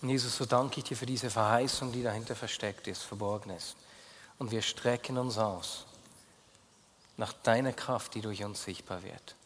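A man with a Swiss German accent preaching a sermon as pastor, speaking earnestly and directly to his listeners, dropping low and quiet as he prays. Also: moderate pace, a little hiss or hum. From Switzerland. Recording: long hall reverb.

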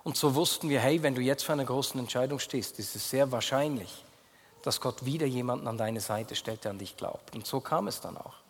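A man with a Swiss German accent preaching a sermon as pastor, speaking earnestly and directly to his listeners, dropping low and quiet as he prays. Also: a little fast, breathy edge. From Switzerland. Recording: long hall reverb.

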